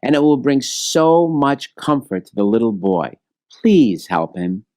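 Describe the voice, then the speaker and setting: Poor storybook beggar, voiced by a man: compassionate and softly insistent, mid-range voice with animated phrasing. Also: mid-range voice, measured pace, no echo, clean studio sound. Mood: reassuring. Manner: gentle, earnest pleading. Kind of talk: children's storybook read-aloud.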